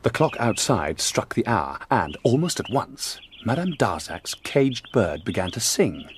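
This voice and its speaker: A man's voice: lowish voice, big pitch swings, a little slow, background noise. A man acting a shrewd, self-assured detective in an audio drama, telling his explanations with theatrical relish. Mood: suspenseful.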